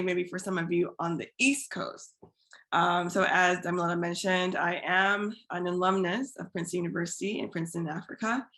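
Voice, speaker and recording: A woman's voice: fairly low pitch, fairly bright tone. A woman from Iowa with an American accent, speaking informally as a guest panelist introducing herself. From Iowa, United States. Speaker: panelist, physician and fiction writer.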